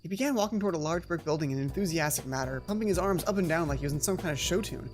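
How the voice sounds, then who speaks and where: A woman's voice: deep voice, racing. A woman hosting a let's play, reading a visual novel aloud with casual asides, often correcting herself and reworking lines. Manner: animated, self-correcting, repeats lines to rehearse them. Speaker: let's play host and narrator. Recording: music playing behind the voice.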